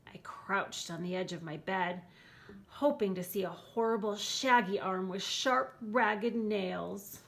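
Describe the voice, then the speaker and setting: Woman, school read-aloud, medium pitch, bedtime storybook narration. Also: takes it slowly, gravelly. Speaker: school staff reading aloud.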